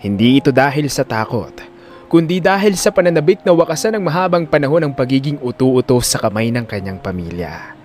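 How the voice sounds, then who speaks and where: A man's voice: mid-range voice, sing-song, brisk, darkish tone, soft background music. A man with a Filipino accent narrating an audio drama in a scripted, dramatic storytelling style.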